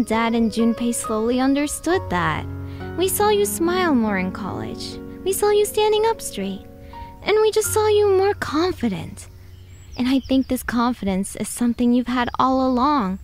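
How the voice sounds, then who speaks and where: A woman's voice: high voice, very animated, moderate pace, faint music behind. A young woman acting a supportive, spirited adventurer in an audio drama. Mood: gentle, encouraging.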